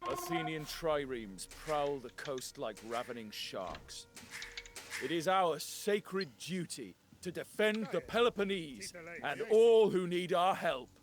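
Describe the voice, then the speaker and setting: High-pitched, commanding Spartan general. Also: male voice, animated, slow, over music. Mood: solemn resolve. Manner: declamatory, formal, commanding. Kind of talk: theatrical historical video game acting.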